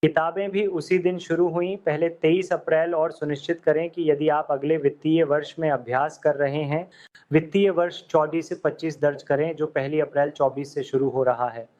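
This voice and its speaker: A man teaching accounting software step by step, in steady instructional tones over a screen recording. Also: fairly high pitch, normal speed. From India. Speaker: software tutor. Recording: dull lo-fi audio.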